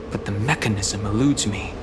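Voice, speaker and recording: A man's voice: fairly low pitch, very noisy. A man voicing a cool, solemn young dragon warrior in a video game, reserved and grave. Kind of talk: video game character acting.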